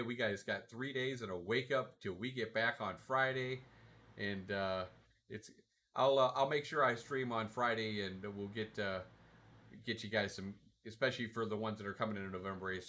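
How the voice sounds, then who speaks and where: A man streaming a game from his recliner at home, reading out viewer comments and answering them in a relaxed, rambling way with lots of fillers and restarts. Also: on the low side, fairly quick. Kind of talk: casual livestream chat, answering viewer comments. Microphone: desk mic, sometimes too far from it.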